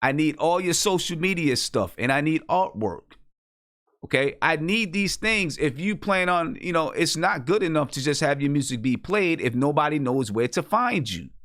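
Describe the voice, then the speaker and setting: A man hosts a music show in casual, insistent talk, laying down guidance for his listeners. His pitch moves around a lot, and the sound is dry and close, with very little room on it. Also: lively intonation, normal speed, a bit bright, no echo. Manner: instructive, insistent, often checking with "right?". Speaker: host of an independent hip hop music review show. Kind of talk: casual live radio-show talk addressed to artists and listeners.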